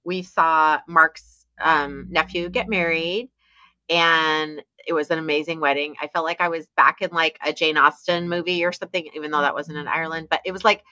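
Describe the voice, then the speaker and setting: A woman's voice: low-pitched, fairly even intonation, moderate pace, pushed and bright, dry recording, lo-fi sound. A woman co-hosting a podcast, chatting casually and rambling with restarts. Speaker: podcast co-host. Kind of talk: casual chat.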